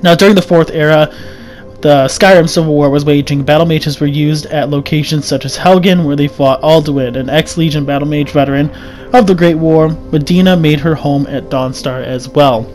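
A man's voice: fairly high pitch, steady tone, moderate pace, darkish tone, soft background music. A young man with a sick, scratchy throat, reading a game lore script aloud and often stumbling over words. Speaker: lore video narrator. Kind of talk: read-aloud lore narration over gameplay.

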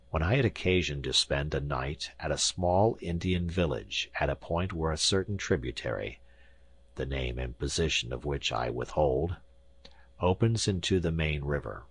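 A man's voice: very deep voice, husky, no room sound, heavily acted. A man voicing an arrogant, imperious professor in an audiobook, pompous and lecturing as he talks down to his listener. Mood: self-important recounting. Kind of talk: audiobook character acting.

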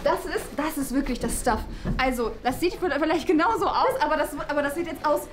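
A young woman playing a gloomy, awkward teenage goth schoolgirl in an improvised fan film, speaking haltingly and repeating herself. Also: high-pitched, natural voice. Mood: eager, insistent. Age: young adult. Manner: halting, repetitive, hesitant. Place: furnished room at home. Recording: music under the voice.

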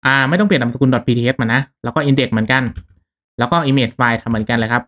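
Young man, quick pace, medium pitch, informal step-by-step tutorial narration. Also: a bit bright. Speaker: tech tutorial host. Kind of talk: casual screen-recorded software tutorial. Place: indoors at a computer, voice-over.

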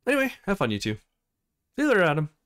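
Man playing a high-voiced, kindly mother. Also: huge pitch swings, very fast, a bit bright, clean tone, exaggerated voice. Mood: kind, motherly. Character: kind middle-aged mother, caring and polite.

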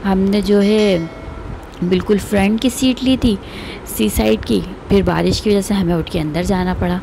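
A woman's voice: mid-range voice, moderate pace, gravelly, warm tone, slight background noise. A woman, casually narrating her family outing for a vlog and recommending the place. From Pakistan. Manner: chatty, recommending, pious phrases. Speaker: vlogger.

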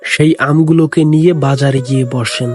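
A man narrating a Bengali village cartoon story in a plain, steady storytelling voice. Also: on the high side, brisk, soft background music. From Bangladesh.

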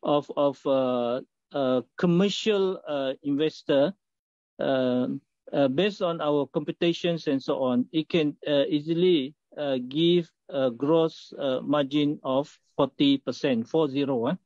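Halting middle-aged man on a video call. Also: on the high side, a little mellow, no echo. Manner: halting, many filler sounds and restarts. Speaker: company executive interviewed. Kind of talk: remote video interview. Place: indoor office. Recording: muffled dry video call audio.

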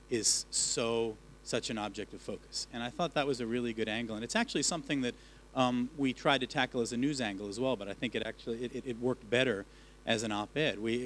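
A man's voice: mid-range voice, a bit bright, slight background noise. A man speaking on a panel about newsroom work, earnest and restarting often, into a handheld mic in a lecture hall. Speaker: panelist, news editor. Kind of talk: panel discussion.

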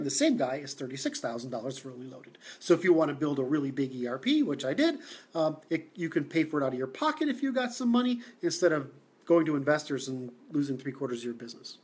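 A man from Seattle hosting a livestream, answering comments in a halting, blunt way with many fillers and restarts. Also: a little high, a little mellow. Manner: blunt, opinionated. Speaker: livestream host.

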